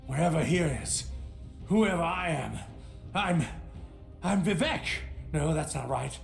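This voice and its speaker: A man's voice: a little high, lively intonation, measured pace, gravelly. An actor playing an unhinged adventurer who has lost his memory, rambling erratically in a theatrical video game style. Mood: rambling, manic. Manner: erratic, rambling, talking to himself. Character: unhinged, amnesiac male adventurer losing his mind to madness. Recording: echoey, faint music behind.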